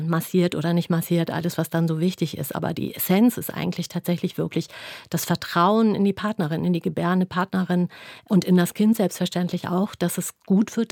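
Woman with an even, down-to-earth delivery. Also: lowish voice, gravelly, no echo, studio quality. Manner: down-to-earth, matter-of-fact. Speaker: interview guest, home-birth midwife. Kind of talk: reflective interview answers.

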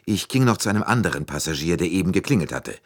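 A man's voice: low voice, lively intonation, fast talker, no room sound, clean studio sound. A man voicing a nervous, deferential middle-aged French train conductor in an audiobook. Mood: nervous, defensive. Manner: anxious, deferential, eager to justify himself. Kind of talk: audiobook character dialogue.

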